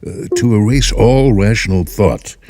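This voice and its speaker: A man's voice: on the low side, measured pace, warm tone, dry recording, pitched above the performer's own voice. A man voicing a broke, anxious male writer in a story, hesitant and muttering as he thinks aloud. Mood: excited brainstorming.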